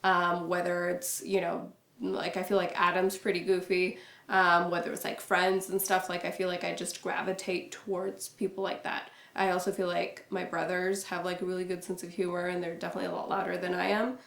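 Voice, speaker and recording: A young woman vlogging to camera in a relaxed, reserved way, with a naturally low voice she pushes louder and many casual fillers. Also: a little fast, gravelly. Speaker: YouTuber. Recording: echoey room.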